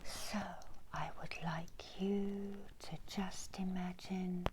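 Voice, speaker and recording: A middle-aged woman whispering a guided ASMR meditation in a breathy, hushed, measured voice with long pauses, as a reiki healer. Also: on the low side, fairly even intonation. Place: small indoor room. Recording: clear background noise and ambience.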